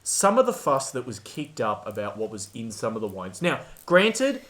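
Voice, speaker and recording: A man on a podcast, giving an animated, mock-exasperated comic rant and acting out quotes in casual chat. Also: medium pitch, normal speed. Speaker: podcast co-host.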